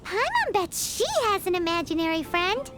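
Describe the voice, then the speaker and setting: A woman voicing a tiny, excitable female fairy companion in game dialogue who talks about herself in the third person. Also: very high voice, moderate pace. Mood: excited. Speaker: companion character. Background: game music.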